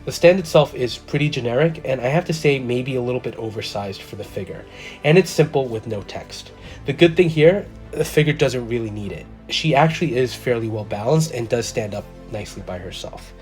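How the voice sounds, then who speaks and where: A man hosting his own collector channel, talking through a careful review in a measured, methodical way, a little stuffy from a cold. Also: medium pitch, lively intonation, darkish tone, soft background music. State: slightly congested from a cold. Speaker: toy collector channel host. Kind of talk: scripted product review voice-over.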